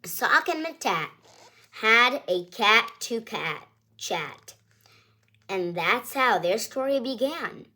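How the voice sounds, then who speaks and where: A woman's voice: fairly high pitch, lively intonation, slow pace, bright, forceful tone. A woman hosting her own channel, reading a picture book aloud to children in a cheerful, chatty, singsong storytelling way.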